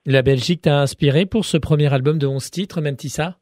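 A man's voice: a little high, fairly quick, a little mellow, no echo, studio quality. A man working as an interviewer, asking casual questions in an informal, somewhat hesitant way.

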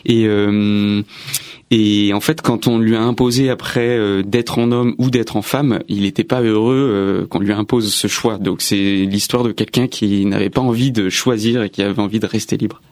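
A man from the Paris region, a stage actor, calmly explaining his part as a radio guest. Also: fairly low pitch, moderate pace, dry recording, studio quality. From Paris region, France. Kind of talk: radio interview. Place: radio studio.

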